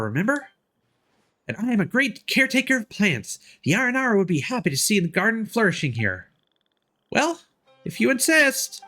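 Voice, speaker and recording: Guy doing an independent stubborn game kid, high voice, lively swoops. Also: heavily acted. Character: stubborn, self-reliant young child living alone. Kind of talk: reading game dialogue in character.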